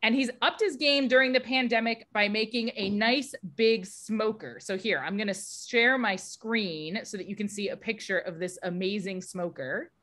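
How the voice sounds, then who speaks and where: A woman's voice: mid-range voice, moderate pace, hardly any pauses, a bit bright. A woman hosting a science webinar over a video call, chatty, upbeat and candid. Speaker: webinar host.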